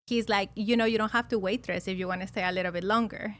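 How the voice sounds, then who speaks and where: A woman's voice: mid-range voice, steady tone, fast talker. A woman of around forty from Peru with a Peruvian Spanish accent, telling stories as a podcast guest in a chatty way, laughing often between fillers. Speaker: podcast guest, loan officer. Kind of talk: casual storytelling interview.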